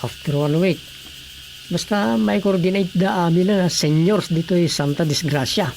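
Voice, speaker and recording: A man's voice: high-pitched, normal speed, vocal fry, darkish tone, slight background noise. An actor with an Ilocano accent playing a matter-of-fact man explaining plans in a radio drama comedy.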